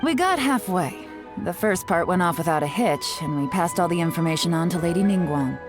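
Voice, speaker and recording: A woman's voice: fairly low pitch, lively intonation, brisk, music in the background, lightly acted. A woman playing a sly, confident secret agent in a video game, poised and playfully knowing. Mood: cool, calculating.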